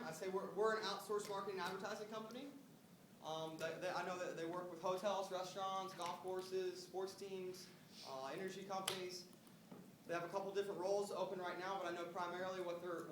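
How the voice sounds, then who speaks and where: A man with a high voice coaches recruiters through a casual phone script. He sounds upbeat and often checks in with short tag questions. The recording is roomy and distant, with noise behind him. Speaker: recruiting trainer making phone screening calls. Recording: roomy, distant mic, noisy background.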